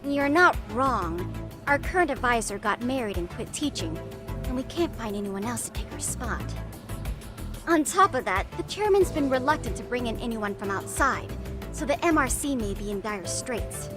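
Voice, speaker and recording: Actress as nerdy mystery club girl, wordy n dramatic, really high lively voice, game dialogue over music. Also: normal speed, lightly acted. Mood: guarded, boastful. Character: eager, theatrical teenage girl, mystery-obsessed club president. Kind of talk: video game voice acting. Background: background music.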